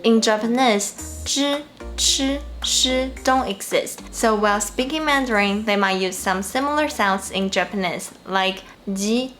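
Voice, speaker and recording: A woman from Taiwan with a Taiwanese accent, giving a clearly explained, scripted lesson on pronunciation and slowing down to model example sounds. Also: mid-range voice, fairly bright tone, soft background music. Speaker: Mandarin teacher.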